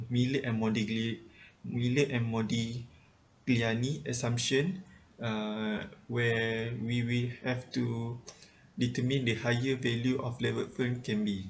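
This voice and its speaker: Young man, Malaysian accent, student presenter, halting delivery, mid-pitched, noisy room recording. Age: 20s. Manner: halting, repeats words. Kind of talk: group project presentation. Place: small plain indoor room. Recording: clear background noise, room ambience.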